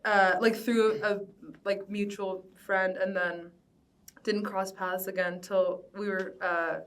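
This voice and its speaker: A woman's voice: medium pitch, level tone, slow pace, fairly bright tone, far from the mic. A young American woman speaking casually in an interview, loose and informal, with "like" sprinkled through her sentences. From Cincinnati, United States. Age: young adult. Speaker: band vocalist being interviewed. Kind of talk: casual conversational oral history.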